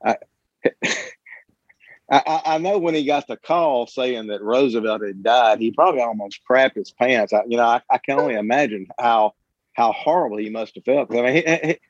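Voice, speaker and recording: A man talking casually in a group discussion, halting and often repeating his words as he goes. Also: mid-range voice, dry recording, dull audio. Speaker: book club member.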